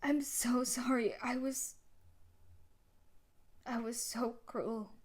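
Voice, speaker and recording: A woman performing a dramatic audio roleplay as a tough yandere bully turned desperate, with intense emotional delivery and a breathy voice that often sinks toward whispers. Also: a little high. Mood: remorseful, pleading. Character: tough sadistic yandere bully wife, now desperate and remorseful.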